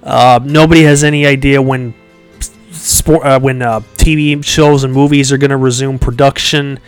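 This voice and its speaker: A man's voice: mid-range voice, fairly even intonation, normal speed, clear, resonant tone, soft background music. Nasal, congested-sounding male podcast host. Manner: rambling, many fillers and restarts, chuckles often. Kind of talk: casual chat.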